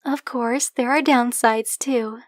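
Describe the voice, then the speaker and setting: A woman's voice: fairly high pitch, fairly even intonation, slow pace, no room sound, studio quality. A woman playing a possessive, affectionate dragon-blooded librarian in an ASMR roleplay, speaking coyly and candidly to the listener in a teasing, conversational way. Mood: chatty, self-assured. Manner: coy, teasing, conversational, fond pet names.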